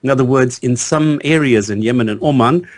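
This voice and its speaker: Man explaining his argument, mid-pitched. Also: fairly quick. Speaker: panellist. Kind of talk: panel discussion, explanatory argument.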